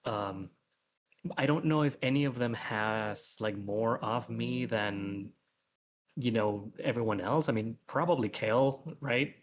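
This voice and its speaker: A man's voice: on the low side, unhurried. A man talking casually as a guest webcomic author on a livestream, thinking aloud as he goes.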